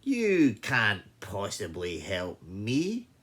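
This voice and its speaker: A storyteller voicing a big, boastful but kind-hearted male lion in a slow, emphatic, booming manner for a children's story. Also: wildly swooping pitch, a bit bright, full character voice. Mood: mocking, incredulous.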